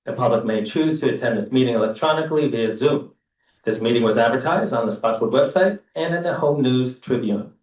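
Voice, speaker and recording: A man's voice: mid-range voice, darkish tone. A man chairing a council meeting as council president, reading the formal opening statement and introducing speakers. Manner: formal, measured announcements. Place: council chamber. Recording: echoey room from far off.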